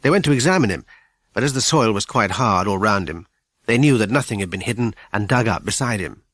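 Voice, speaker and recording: Male narrator for children's fiction: expressive, varied pitch, dry and close. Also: a little fast, no echo. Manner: expressive storytelling. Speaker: audiobook narrator. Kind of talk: scripted children's story narration.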